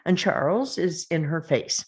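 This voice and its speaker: A woman's voice: low-pitched, expressive, unhurried, darkish tone, slightly rough. A woman reading stage directions as the narrator of a screenplay table read.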